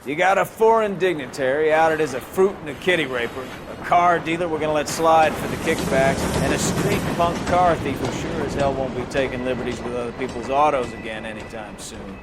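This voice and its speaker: A man's voice: medium pitch, brisk, few pauses, heavy background noise, natural voice. A man playing a crude, pompous middle-aged police captain who speechifies in a crime game. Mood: smug, approving. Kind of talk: dramatic game acting.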